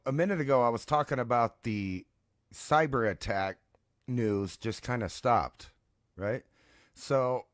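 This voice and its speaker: A man talks as a co-host in a casual, rambling way. His voice is mid-pitched and recorded dry, with very little room sound. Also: no echo.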